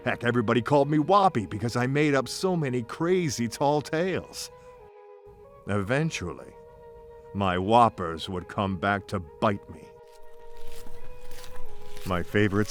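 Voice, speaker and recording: A man narrating a scripted first-person story in a theatrical, folksy, playful manner. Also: lowish voice. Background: music bed.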